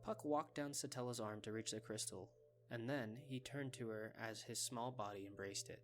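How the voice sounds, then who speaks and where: A man with a medium-pitched voice reads scripted story narration as the narrator of an audio drama. Faint music plays behind him. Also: fairly even intonation, moderate pace.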